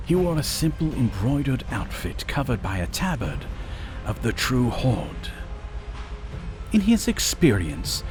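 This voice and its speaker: Slow, expressive male story narrator. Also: medium pitch. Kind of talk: scripted fantasy story narration. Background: music.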